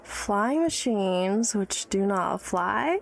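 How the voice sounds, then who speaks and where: A woman's voice: mid-range voice, expressive, extremely slow, creaky, a little hiss or hum, full character voice. An actress playing an educated, tipsy, wry woman of early-1900s New York in a period audio drama, teasing and guarded. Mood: boastful, tipsy. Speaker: storyteller recalling her childhood.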